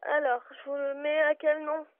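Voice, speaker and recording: A woman's voice: high-pitched, little pitch movement, moderate pace. A woman working as a bookshop clerk, answering a customer call in a polite but firm, patient way. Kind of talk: customer service phone call. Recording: tinny phone line.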